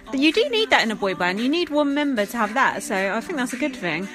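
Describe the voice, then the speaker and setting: A woman's voice: on the high side, big pitch swings, quick pace. A woman hosting a solo podcast, giving blunt, sweary, sarcastic commentary over a TV show. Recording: music and TV audio playing behind.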